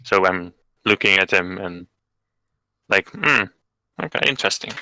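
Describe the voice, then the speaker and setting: A man's voice: low voice, lively intonation, measured pace, pushed and bright, clean tone, dry recording, low-quality recording. A man playing a tabletop game, curious and polite, talking in and out of character. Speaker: player. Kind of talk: casual tabletop roleplay.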